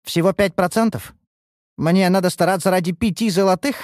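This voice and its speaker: A man voicing a haughty, contemptuous female high elf with a sarcastic, disdainful manner in a fantasy audiobook. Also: lively intonation, moderate pace, warm tone, no echo, clean studio sound, close to an everyday voice. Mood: indignant, sarcastic.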